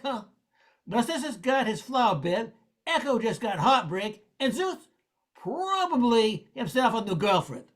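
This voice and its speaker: A woman playing a wry, world-weary New York storyteller in an amateur noir radio narration. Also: a little high.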